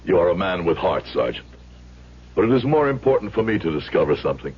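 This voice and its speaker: An actor playing a proud, principled immigrant shoemaker in a radio drama, speaking formally and emphatically. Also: male voice, low voice, big pitch swings, breathy edge, exaggerated voice. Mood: earnest. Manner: formal, emphatic, deliberate speech. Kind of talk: old-time radio drama acting. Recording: muffled old radio recording.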